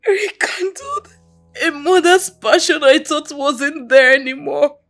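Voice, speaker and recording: A woman's voice: high voice, slow pace, fairly bright tone, exaggerated voice. An actress playing a remorseful young mother fighting drug trouble, tearful and pleading. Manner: tearful, pleading, repeating apologies. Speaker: actor. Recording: faint music underneath.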